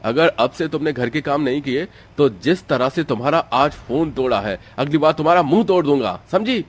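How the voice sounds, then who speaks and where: A man playing a furious, threatening young husband in a cartoon family drama, scolding forcefully. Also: fairly high pitch, lively intonation, moderate pace. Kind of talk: Hindi animated moral-story acting.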